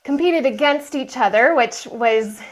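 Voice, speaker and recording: A woman's voice: sits high, measured pace, rough recording. A woman guest on a podcast, telling her life story in an earnest, emphatic way. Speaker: guest, mind coach. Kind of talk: interview storytelling.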